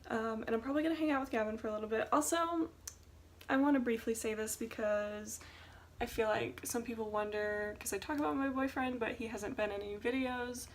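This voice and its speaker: A woman's voice: on the high side, steady tone, brisk, slight background noise. A woman in her early twenties vlogging in a casual, chatty way, rambling informally with conversational asides. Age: early 20s.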